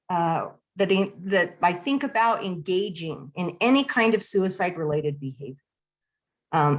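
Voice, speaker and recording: A woman presenting a webinar over a video call, speaking in a friendly, conversational way with fillers and restarts, her voice slightly hoarse and clearing her throat. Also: medium pitch. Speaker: nonprofit area director presenting a webinar. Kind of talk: online presentation to attendees.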